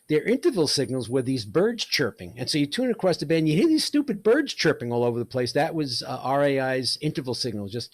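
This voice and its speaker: Casual, wry man reminiscing as presenter. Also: medium pitch. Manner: wry, joking. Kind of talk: casual talk about old shortwave radio.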